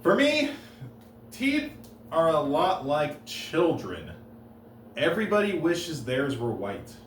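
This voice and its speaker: A man delivering dry, deadpan one-liners on stage, pausing and stumbling a little before each punchline. His voice sits at an ordinary middle pitch and rings in a roomy space, picked up from a bit back, with faint room noise behind him. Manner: deadpan, halting, with restarts and pauses. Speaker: stand-up comedian. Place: comedy stage. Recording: roomy live room, heard from a distance.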